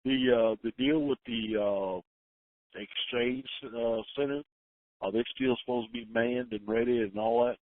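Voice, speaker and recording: A man's voice: mid-range voice, steady tone, moderate pace. Male caller on a tinny phone line. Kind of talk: casual phone chat. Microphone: telephone.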